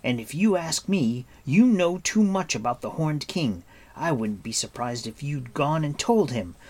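Young fantasy hero, done by a male narrator: excitable, quick to take offence, very animated. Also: mid-range voice, breathy edge, pitched above the performer's own voice. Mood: angry, sulky. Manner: excitable, indignant. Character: impetuous, anxious, hot-tempered boy hero.

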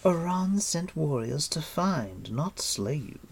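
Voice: medium pitch, big pitch swings, takes it slowly, creaky, husky, pitched above the performer's own voice, full character voice. A narrator voicing a cruel, imperious enchantress queen for an audiobook, silky and menacing in manner. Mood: silky, measured. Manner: gentle coaxing turning to menacing hiss. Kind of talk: audiobook character voice.

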